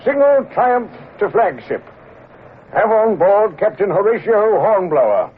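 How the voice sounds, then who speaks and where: An actor playing a commanding senior naval captain who talks warmly and briskly. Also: male voice, sits high, big pitch swings, husky, full character voice. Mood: hearty. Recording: tinny, hissy old radio broadcast.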